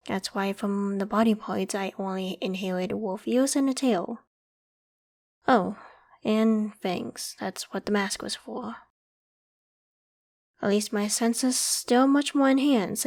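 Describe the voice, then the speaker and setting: A woman's voice: mid-range voice, natural voice. A woman voicing a friendly, self-conscious young half-human werewolf who talks casually and protectively to the listener in an ASMR roleplay. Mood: sheepish, confessing, then chatty. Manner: casual, conversational, gently protective. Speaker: voice actor in audio roleplay.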